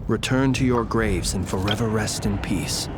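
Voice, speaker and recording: A man voicing a courtly lantern-bearer who lays ghosts to rest, speaking in a formal, measured, poetic way. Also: fairly low pitch, very noisy, lightly acted. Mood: solemn. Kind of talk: video game voice acting.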